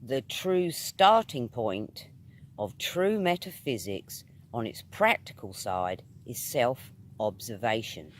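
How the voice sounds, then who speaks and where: A man with a British accent reading a spiritual essay aloud in a measured, emphatic, oratorical manner. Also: mid-range voice. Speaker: reader of metaphysical essays.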